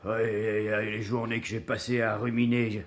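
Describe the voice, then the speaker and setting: Actor playing a cornered, slangy small-time crook. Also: male voice, lowish voice, little pitch movement, moderate pace, natural voice. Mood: defensive, rueful. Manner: slangy, working-class, rambling defensive confession. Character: cornered small-time crook, exasperated, pleading his innocence under questioning. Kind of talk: French radio crime drama acting.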